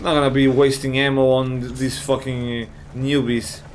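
Male gamer, casual commentary, a touch raspy, cursing reactions, ordinary pitch, light background hiss. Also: little pitch movement, normal speed. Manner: swears often, thinks aloud, reacts with surprise. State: slightly raspy from just waking up. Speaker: gamer playing a horror game. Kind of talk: casual let's-play commentary.

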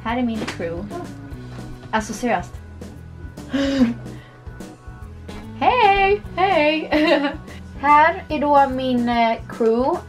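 High-voiced playful young woman gamer. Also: animated, pauses often, faint music behind. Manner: playful, giggly, chatty. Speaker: vlogger. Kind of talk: casual gameplay commentary.

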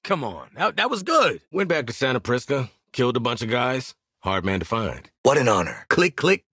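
A man's voice: medium pitch, very animated, normal speed, bright, forceful tone, breathy edge, no room sound, studio quality, full character voice. A man playing a cocky, wry mercenary marksman in a video game, streetwise and sardonic, barking commands to his squad and swearing freely. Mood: wry, casual.

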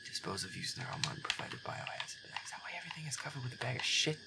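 A man's voice: medium pitch, very animated, very fast, gravelly. Man playing a feisty, whispery, grumbling boyfriend. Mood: annoyed muttering. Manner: exasperated, sweary, sarcastic. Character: feisty werewolf boyfriend grumbling through a scary game. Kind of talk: gameplay roleplay, muttered reactions.

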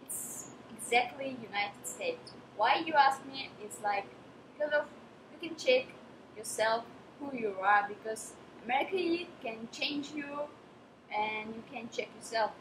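High-voiced young Russian-accented woman. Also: lively intonation, a little slow. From Tatarstan, Russia. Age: 20s. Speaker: summer work participant, lifeguard. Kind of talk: on-camera testimonial. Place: outdoors by swimming pool. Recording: echoey outdoor pool, clear background noise.

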